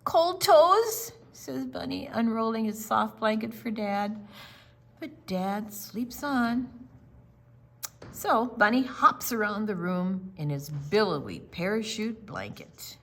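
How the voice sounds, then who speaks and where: A woman reading a picture book aloud to young children, gentle, with dramatic pauses and drawn-out words. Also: mid-range voice. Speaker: storytime reader.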